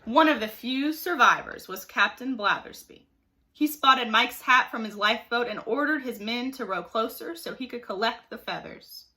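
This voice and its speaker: A woman reading a children's story aloud like a teacher, with expressive, dramatic emphasis. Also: on the high side, moderate pace. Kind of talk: animated storybook narration for children.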